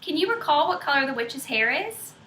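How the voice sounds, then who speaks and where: Expressive woman reading a picture book. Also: high-pitched. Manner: expressive, pausing to quiz young listeners. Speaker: storybook reader. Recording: roomy echo.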